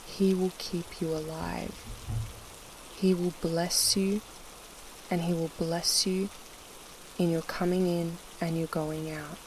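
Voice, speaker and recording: A narrator reading scripture and prayer for a sleep meditation in a measured, solemn way with pauses between short phrases, in a devotional style. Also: mid-range voice, a little hiss or hum, low-quality recording. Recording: steady rain sound over the voice. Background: soft rain.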